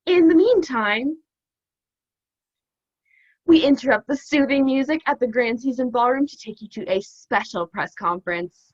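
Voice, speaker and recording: A woman's voice: high-pitched, animated, moderate pace, pauses often, no echo. A young woman playing a formal, unflappable radio station announcer in a comedic radio play. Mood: apologetic, formal. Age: young adult. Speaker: student actor. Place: room at home. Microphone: laptop webcam. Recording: slightly dull, dry webcam sound.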